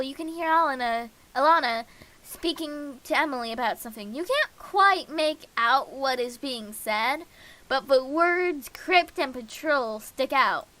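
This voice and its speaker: Female game streamer, high and bright, reads story text slowly and haltingly, with casual asides. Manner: halting reading with slips and self-corrections. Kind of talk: reading a visual novel aloud on stream with casual asides.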